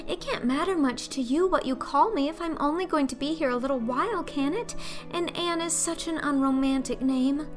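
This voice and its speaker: A woman's voice: high voice, moderate pace, music in the background, lightly acted. A woman voicing a talkative, imaginative eleven-year-old orphan girl in an audiobook, theatrical and earnest in her pleading. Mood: eager, pleading. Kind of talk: audiobook character acting.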